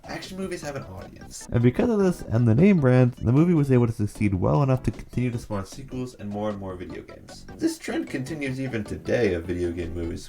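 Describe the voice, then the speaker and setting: A man's voice: medium pitch, mellow. A man narrating a scripted video essay, giving opinionated commentary with a sarcastic edge.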